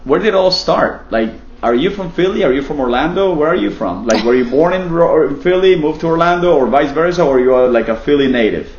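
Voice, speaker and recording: A man's voice: on the high side, lively intonation, brisk, hardly any pauses, darkish tone, reverberant, muffled recording. A man from Colombia interviewing a colleague in a casual, joking way, often repeating himself. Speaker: interviewer, real estate team leader.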